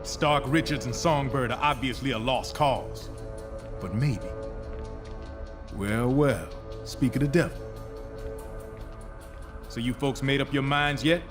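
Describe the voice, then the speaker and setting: An actor playing a tough, stubborn superhero in a game, talking bluntly and colloquially. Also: male voice, medium pitch, slow pace, pauses often, over music, close to an everyday voice. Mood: firm, persuasive. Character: tough, streetwise male superhero, stubborn and principled.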